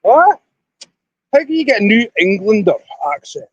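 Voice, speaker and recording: A man's voice: sits high, very animated, moderate pace, lo-fi sound, slight background noise. A Scottish man chatting to viewers on a casual live stream outdoors by a river, rambling as he talks into his phone. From Scotland. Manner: rambling, chatty, talking to viewers while walking.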